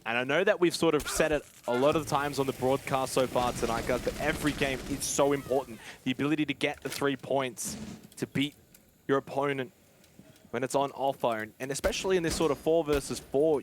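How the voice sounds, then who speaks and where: A man with a mid-pitched voice analyses an esports match live. He speaks conversationally, as if chatting to the viewers. Gunfire and clicks from the game are clearly audible behind him. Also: a little fast, noisy background. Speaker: esports commentator. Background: video game gunfire.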